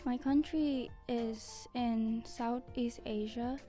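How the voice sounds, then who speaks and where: Teen girl, slightly high, unhurried, calm, faint music. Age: teens. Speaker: student. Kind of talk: school interview answers. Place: school library indoors.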